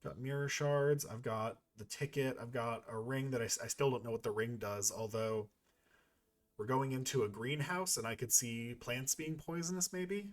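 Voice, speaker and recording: A man's voice: medium pitch, normal speed. A man giving casual gameplay commentary as a playthrough host, rambling and thinking aloud as he goes. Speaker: video game playthrough host.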